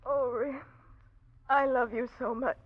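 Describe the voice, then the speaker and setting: An actress playing an anxious, tender doctor's wife with a hesitant, faltering delivery in a 1940s-style radio drama. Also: female voice, high-pitched, steady tone, slow, heavy background noise. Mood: frightened, clinging. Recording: muffled old radio broadcast recording.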